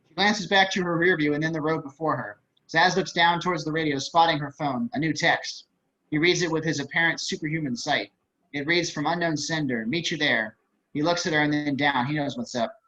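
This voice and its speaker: Bright, higher-voiced man narrating a script. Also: a little fast. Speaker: stage-direction narrator. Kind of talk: scripted narration, table read. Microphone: computer on a video call. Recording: dull, lo-fi video-call audio.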